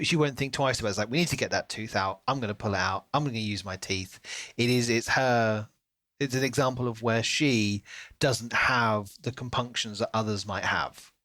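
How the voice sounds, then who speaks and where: A man co-hosting a podcast, talking through a book in a casual, animated way. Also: mid-range voice, a little fast, no room sound. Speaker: podcast co-host.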